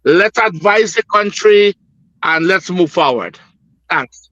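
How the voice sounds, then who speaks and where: A man's voice: high voice, expressive, takes it slowly, a bit bright, dry recording, highs cut off. A middle-aged man with a Guyanese accent giving his political opinion as a panel guest, earnest and repetitive, with emphatic phrasing. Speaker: business executive, panel guest.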